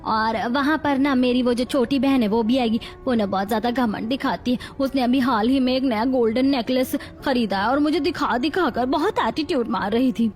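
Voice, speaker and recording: Scheming middle-aged mother-in-law played by a woman, high voice, flattering and chatty, moral-story drama. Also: normal speed, few pauses, faint music behind, close to an everyday voice. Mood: coaxing. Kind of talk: acted Hindi family story dialogue.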